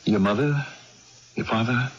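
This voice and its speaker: A man acting in a 1980s radio drama as a devoted nephew, a gentle small-town minister telling his aunt's story in an earnest, measured way. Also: medium pitch, husky. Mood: tender, concerned. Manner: earnest, measured storytelling that turns urgent in dialogue. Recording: dull old radio broadcast, loud music.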